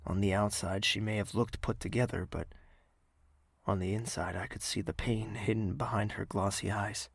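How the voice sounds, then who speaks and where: An actor playing a courteous, quietly caring host and narrator with a husky, measured, dry delivery in an audio drama. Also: deep voice, steady tone, no room sound, full character voice. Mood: gentle, reflective.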